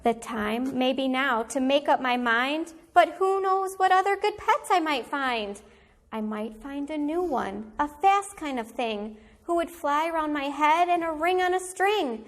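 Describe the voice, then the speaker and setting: A woman teacher reading a children's picture book aloud in an animated, deliberate storytelling manner for young listeners. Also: sits high.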